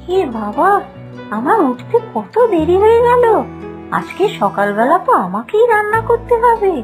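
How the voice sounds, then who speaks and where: A woman voicing a meek, anxious young bride in an animated fairy tale, apologetic and plaintive. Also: very high-pitched, normal speed, faint music behind. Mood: flustered.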